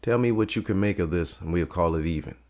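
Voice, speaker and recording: A man's voice: low-pitched, fast, darkish tone, close to an everyday voice. A man playing an easygoing, streetwise barber in an audio story, talking in casual slang over background music. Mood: relaxed. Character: easygoing streetwise male barber, helpful loyal friend. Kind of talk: dramatic audio story acting.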